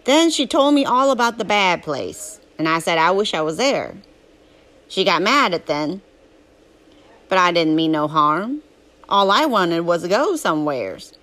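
Woman reading as folksy Southern boy storyteller, very lively pitch. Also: measured pace. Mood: matter-of-fact, defiant. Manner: folksy, colloquial, drawling. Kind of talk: first-person storybook narration.

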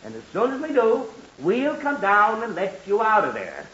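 Flustered, high-strung American radio dad. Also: male voice, wildly swooping pitch, normal speed, fairly bright tone, full character voice. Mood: impatient, instructing. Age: middle-aged. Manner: sputtering, put-upon, easily exasperated. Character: flustered, exasperated suburban father of a teenage son. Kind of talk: 1940s radio comedy acting. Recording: muffled, hissy 1940s radio broadcast.

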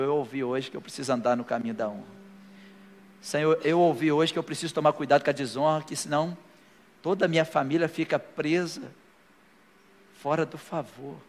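A male pastor preaching a sermon to a live congregation and leading a prayer, his delivery rising to fervent declarations. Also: on the high side. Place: church hall, live before a congregation. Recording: long hall reverb with faint music.

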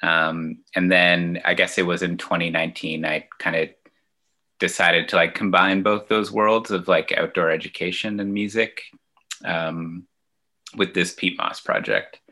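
Deep, slow-talking man with a bright tone. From Ontario, Canada. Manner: relaxed, hesitant, many fillers and restarts. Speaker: children's musician and outdoor educator. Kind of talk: casual interview answers.

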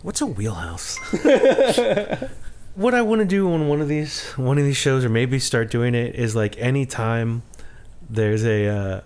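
A man co-hosting a podcast in casual chat, rambling and self-deprecating with halting restarts. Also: mid-range voice, animated, a little mellow. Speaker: podcast co-host.

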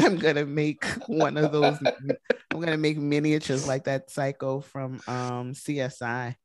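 A woman's voice: low-pitched, flat delivery, unhurried, darkish tone. A woman co-hosting a comedy podcast, crass and often laughing through her words. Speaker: podcast co-host. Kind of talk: casual comedic chat.

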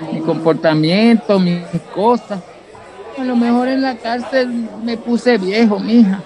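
A man playing a gruff, emotional, middle-aged Venezuelan father in a radio soap opera, talking bluntly and colloquially. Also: very high voice, slow pace, a little mellow, heavily acted. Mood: weary, emotional. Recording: busy crowd background.

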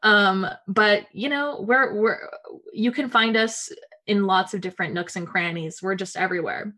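A woman's voice: mid-range voice, moderate pace, bright, forceful tone. A young woman hosting a podcast, speaking in a thoughtful, reflective way with fillers. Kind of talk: casual conversation.

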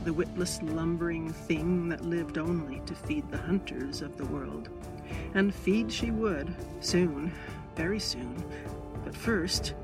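A woman reading a short story aloud as dramatic, literary narration with deliberate emphasis. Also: lowish voice. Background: music under the voice.

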